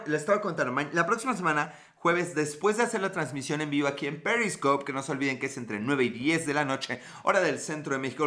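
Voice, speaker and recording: Mexican host, 30s, casual live chat, swears and jokes, bright tone. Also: male voice, fairly high pitch. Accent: Mexican Spanish. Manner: joking, profane, playful. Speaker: podcast host. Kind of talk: casual live-stream chat reading comments.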